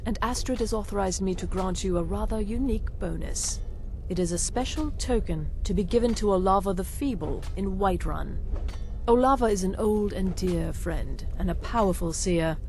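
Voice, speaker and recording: A woman voicing a calm, calculating assassin handler in a video game, giving orders in a measured, businesslike way. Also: lowish voice, slight background noise, close to an everyday voice. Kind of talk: scripted video game dialogue.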